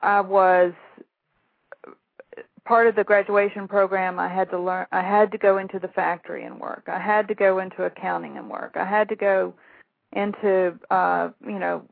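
A middle-aged Southern woman with a gravelly, creaky voice tells her stories in a rambling, self-deprecating way, with laughs along the way. The sound is a tinny phone line, close and dry. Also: medium pitch, fairly even intonation, normal speed, no echo. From Shreveport, Louisiana. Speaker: author and guest. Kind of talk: phone interview, storytelling.

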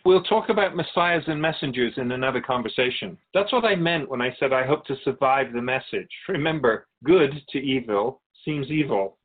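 Male actor as wise otherworldly spirit, husky voice, lively swooping pitch, gentle, cryptic, courteous, radio drama. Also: phone-quality sound, lightly acted. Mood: cryptic, teaching. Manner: measured, philosophical, courteous.

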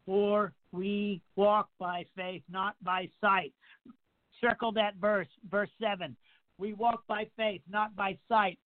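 A man's voice: high voice, flat delivery, slow pace. A man in his sixties preaching a radio sermon, stacking up repeated phrases and emphatic rhetorical questions. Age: 60s. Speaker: pastor. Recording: tinny phone line.

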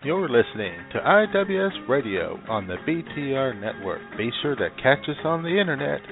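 A male announcer reads a scripted station ident with lively, swinging pitch. Music plays clearly behind him, and the sound is narrow and phone-like. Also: normal speed.

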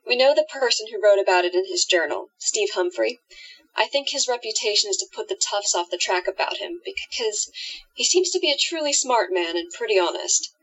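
A woman playing an earnest, thoughtful investigator in a radio drama, explaining things in a measured way. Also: mid-range voice, lively intonation, a bit bright, breathy edge, poor audio.

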